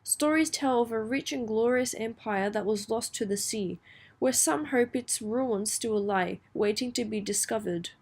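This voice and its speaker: A woman giving a scripted read-aloud as an exam practice narrator, measured and carefully enunciated. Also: a little high, a little mellow.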